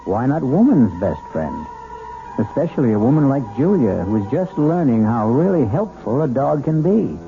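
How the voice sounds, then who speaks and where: A man hosting a 1970s radio mystery drama, narrating in a wry, theatrical, deliberate manner. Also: medium pitch, big pitch swings, over music. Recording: dull old radio recording.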